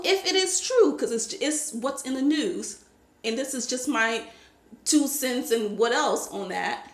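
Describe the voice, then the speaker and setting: A woman from Liberia giving her opinion in a casual monologue to camera, becoming more animated as she stresses her points. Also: medium pitch, reverberant. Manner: opinionated, rising to animated emphasis. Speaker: vlogger.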